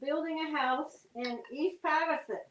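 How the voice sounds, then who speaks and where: Older guest cook, fairly high voice, homey and plain-spoken. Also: female voice, unhurried, reverberant, a little hiss or hum. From New Jersey. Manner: homey, explains steps plainly. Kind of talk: cooking demonstration chat.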